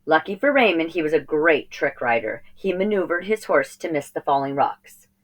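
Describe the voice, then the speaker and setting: A woman reading a children's story aloud in an expressive narrating style. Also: sits low, moderate pace. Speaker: storybook reader.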